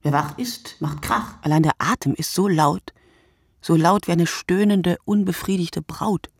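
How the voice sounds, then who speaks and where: Gravelly, husky voice reading a poetic monologue. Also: medium pitch, measured pace, dry recording, clean studio sound. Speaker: reader of a radio play monologue. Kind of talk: literary radio play monologue, poetic scripted narration.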